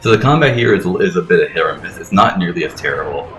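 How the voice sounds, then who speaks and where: A man's voice: deep voice, lively intonation, fast. A man giving casual game commentary, explaining things in a rambling way with frequent swearing. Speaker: let's play host explaining the game. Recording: roomy echo, faint game music behind.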